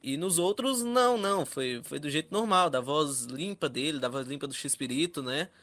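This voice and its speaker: A Brazilian man speaks with a fairly high, very bright voice. He talks casually, as a panelist in a fan discussion. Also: expressive, moderate pace.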